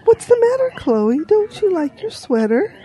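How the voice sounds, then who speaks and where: A woman's voice: extremely high pitch, very animated, normal speed, warm tone, rough recording. A woman voicing a polite, inquisitive, gushing hen in a comic audio drama. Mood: curious. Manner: gushing, chatty.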